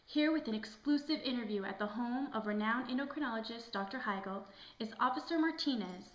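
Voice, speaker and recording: A formal health news anchor played by a woman introduces a scripted TV segment. The voice is a bit high, and the room rings with echo. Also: a little fast, lightly acted. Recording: echoey room.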